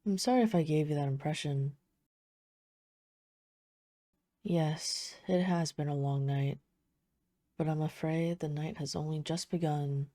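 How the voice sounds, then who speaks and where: Woman acting as a poised vampire lady, deep feminine voice, soft-spoken, flirtatious, close dry sound, audio roleplay. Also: moderate pace, no echo, lightly acted. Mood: wry, composed. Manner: soft-spoken, teasing, smooth formal phrasing. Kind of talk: intimate scripted audio roleplay addressed to the listener.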